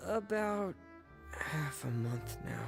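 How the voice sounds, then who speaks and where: An actor playing a shy, timid teenage boy, a quiet younger brother with a halting, soft-spoken delivery in a video game. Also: fairly high pitch, big pitch swings, gravelly, music in the background, heavily acted. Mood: reluctant.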